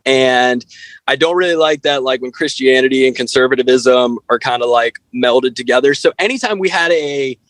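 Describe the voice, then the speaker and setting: A man's voice: medium pitch, normal speed, fairly bright tone, no echo. Blunt, candid man chatting, dry close sound. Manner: blunt, candid, profane, peppers talk with "like". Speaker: band frontman, interview guest. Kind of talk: casual podcast interview chat.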